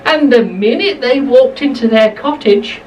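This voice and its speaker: A woman's voice: a little high, unhurried, a little mellow, distant mic, a little hiss or hum, poor audio. A woman telling a bedtime story to young children, expressively, repeating phrases for emphasis, slightly away from the mic.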